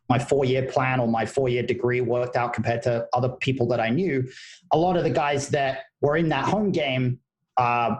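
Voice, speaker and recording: A man in his thirties from the UK with a British accent softened by American influence, talking easygoingly and thoughtfully as a podcast guest with frequent restarts. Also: medium pitch. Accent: British, partly Americanized. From United Kingdom. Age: 30s. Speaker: guest, poker player and coach. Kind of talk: casual podcast interview.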